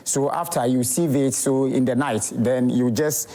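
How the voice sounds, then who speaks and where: Mellow-voiced Ghanaian herbal doctor. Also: male voice, medium pitch, steady tone, a little slow. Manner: hesitant, many fillers, plain explaining. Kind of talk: TV interview explanation.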